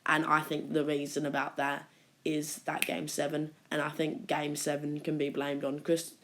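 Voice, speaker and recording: A woman hosting her own basketball channel, talking casually through a ranking while a sore throat makes her voice croaky. She hesitates often, restarting sentences and filling gaps with "uh". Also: low voice, completely flat delivery, normal speed, fairly bright tone. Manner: hesitant, many restarts and fillers. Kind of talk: casual ranking commentary to camera.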